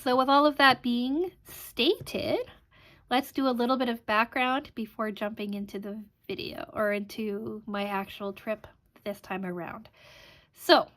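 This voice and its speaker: A woman in her thirties from Canada talks casually to her viewers in a chatty, rambling way, often pausing on an um. Her voice sits a little high. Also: steady tone, fairly bright tone. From Canada (Alberta). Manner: chatty, rambling with frequent ums. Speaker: crafting channel host. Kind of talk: casual vlog chat.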